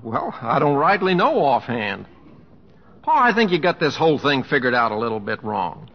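An actor playing an easygoing middle-aged cowboy humorist with an American accent, speaking in plain, self-effacing homespun phrases in old-time radio style. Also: male voice, mid-range voice, sing-song, normal speed. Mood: sheepish, easygoing. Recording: muffled old radio recording, reverberant stage.

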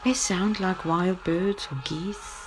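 Slow, hushed woman telling a bedtime story. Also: fairly low pitch, fairly even intonation, noisy background. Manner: gentle, hushed, halting with long pauses. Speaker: narrator of guided sleep story. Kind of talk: soothing bedtime story, guided relaxation. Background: music.

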